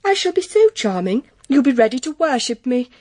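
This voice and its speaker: Spoiled young English society belle, period audio drama: high voice, teasing, imperious, affected, dry sound. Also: female voice, big pitch swings, brisk, a little mellow, no room sound. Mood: coaxing, vain. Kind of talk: period radio drama acting.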